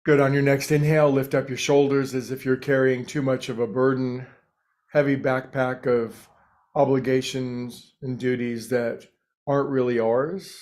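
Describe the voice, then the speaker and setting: A man leading a guided meditation, speaking calmly and without hurry, with pauses between short phrases. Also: mid-range voice. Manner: calm, unhurried, pausing between short phrases. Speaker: meditation teacher and host.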